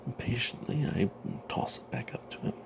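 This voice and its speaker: A man telling a fantasy tabletop tale in a breathy ASMR whisper, halting with long pauses. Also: noisy background.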